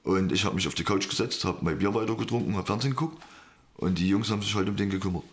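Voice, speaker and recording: A man with a low voice answers an interviewer. He talks casually and plainly, in an unembellished, matter-of-fact manner. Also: very fast. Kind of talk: casual, plain-spoken personal account.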